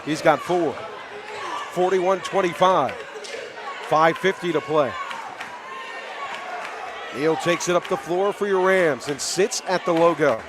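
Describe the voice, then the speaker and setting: High-pitched man calling basketball over crowd noise. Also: slow, pauses often. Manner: partisan home-team call, exclamatory on big plays. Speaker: basketball play-by-play announcer. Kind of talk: live radio sports play-by-play commentary. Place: high school basketball gym. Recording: loud crowd and bouncing balls in gym. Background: loud crowd noise, basketball bounces.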